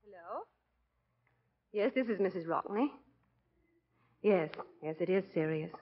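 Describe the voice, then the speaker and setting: An actress playing a polite, protective, worried wife in a 1940s radio drama. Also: female voice, on the low side, animated, unhurried. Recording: dull, band-limited 1940s radio recording.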